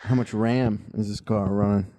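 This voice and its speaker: A man guesting as a comedian on a podcast recorded in a car, dry and deadpan as he puts himself down. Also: on the low side, normal speed, no room sound. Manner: dry, deadpan, self-deprecating. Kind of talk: casual chat. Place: inside a parked car.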